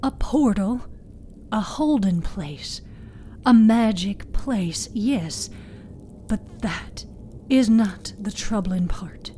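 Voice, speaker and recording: A woman voicing a grave, troubled older woman keeper of stories, hushed and deliberate. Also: medium pitch, expressive, slight background noise.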